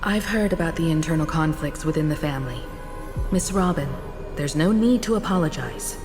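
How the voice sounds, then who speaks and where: A composed, kindly game leader, played by a woman, poised and courteous. Her voice sits fairly low for a woman, with loud music behind it. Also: normal speed, lightly acted. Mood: sincere, reassuring. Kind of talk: video game voice acting.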